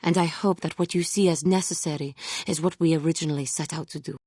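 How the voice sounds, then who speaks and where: Low, husky, tormented warrior woman. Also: steady tone, warm tone, dry recording, clean studio sound. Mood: solemn, earnest. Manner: formal, solemn, deliberate phrasing without contractions. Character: proud, tormented, repentant female fallen Jedi warrior. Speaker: video game voice actress. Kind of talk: dramatic video game character dialogue.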